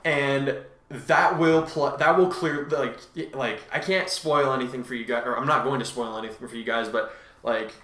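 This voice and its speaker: Bright-voiced man, echoey room. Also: medium pitch, steady tone, normal speed. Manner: chatty, informal. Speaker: fan fiction author and co-host. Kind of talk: casual chat answering reviews.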